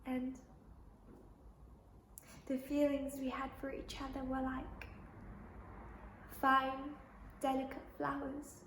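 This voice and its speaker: A woman performs a fragile young actress from a classic tragic play, worn out and wounded yet clinging to faith. Her high voice moves slowly and haltingly, circling back on itself, in a roomy, echoing space. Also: monotone, fairly bright tone, slight background noise, full character voice. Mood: tender, grieving. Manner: halting, repeating, drifting between thoughts. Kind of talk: stage monologue, classic tragic drama.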